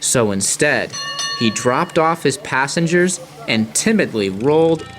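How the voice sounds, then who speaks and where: A man reading scripted storybook narration in a measured, dramatic way. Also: medium pitch, expressive, natural voice. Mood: suspenseful. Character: storybook narrator of talking engine tales. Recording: music playing behind.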